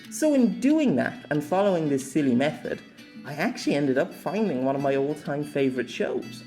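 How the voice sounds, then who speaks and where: Lively, expressive essay narrator over music. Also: medium pitch. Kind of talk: scripted audio essay narration.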